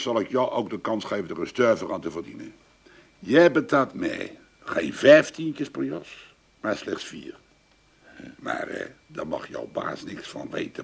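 A smooth-talking Amsterdam hustler, low voice, pushy, radio play. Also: male voice, exaggerated voice. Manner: smooth-talking, persuasive and brusque. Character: slick, pushy male street con man.

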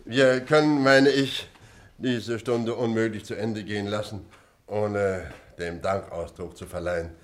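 A man's voice: lowish voice, fairly even intonation, measured pace, close to an everyday voice. A man playing a pompous company director in a radio play, giving a formal, stilted, ceremonious speech.

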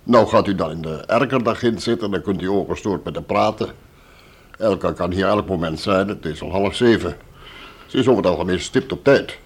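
A courteous café waiter in a radio play. His voice is low and husky, and he chats in an obliging, polite way. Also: a little fast. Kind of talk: radio drama acting in Dutch.

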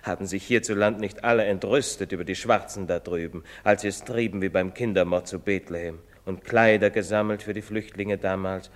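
An actor playing a pious, fatherly village priest who admonishes gently in a preacherly way. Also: low voice, steady tone, a little fast, hardly any pauses, close to an everyday voice. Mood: admonishing. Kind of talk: stage play recording. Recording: long hall reverb.

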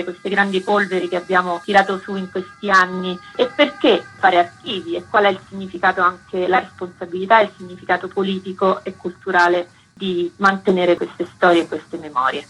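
A woman's voice: mid-range voice, fairly bright tone, slightly rough, rough recording. A woman explaining a project in long, flowing sentences as a guest in a radio interview.

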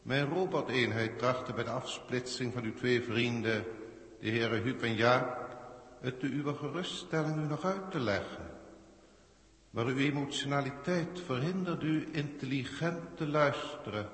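An actor playing a pompous, condescending alien proctor of a galactic council in formal science-fiction radio drama style. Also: male voice, mid-range voice, measured pace, fairly bright tone. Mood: condescending lecturing. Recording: echoey hall-like reverb, vintage radio recording.

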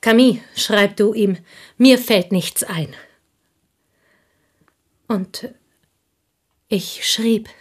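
An actress playing a bitter, confessing village schoolteacher in an intimate German radio play. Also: female voice, mid-range voice, husky. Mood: fervent confession. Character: bitter, confessing French village schoolteacher, woman, jealous confidante.

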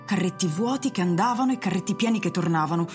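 A woman's voice: on the low side, animated, fast talker. A woman narrator reading scripted literary narration for an Italian radio drama. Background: music.

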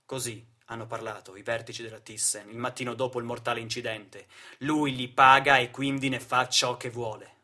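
A man's voice: mid-range voice, fairly even intonation, normal speed, sharp tone. A man, an actor-narrator delivering a theatrical political monologue in a dramatic, sardonic, emphatic way.

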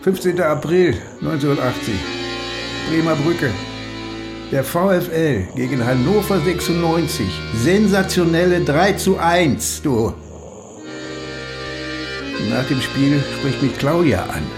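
An actor in his sixties playing a gruff, defensive, nostalgic police chief in a German radio crime drama. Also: on the high side, lively intonation, measured pace, long pauses, music in the background. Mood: nostalgic, musing. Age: 60s.

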